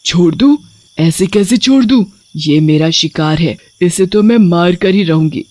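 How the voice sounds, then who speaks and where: A woman's voice: on the low side, unhurried, darkish tone, natural voice. Woman voicing a coaxing witch bride. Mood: menacing. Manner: coaxing, then menacing. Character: seductive female witch, cruel and bloodthirsty.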